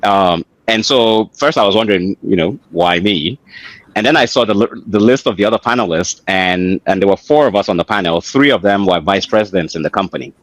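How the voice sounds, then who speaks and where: A middle-aged man with a Nigerian accent and a deep, low voice tells his story as an interview guest. He speaks conversationally and reflectively, pausing and repeating words while he gathers his thoughts. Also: no room sound, poor audio. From Lagos, Nigeria. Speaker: interview guest, author and engineer.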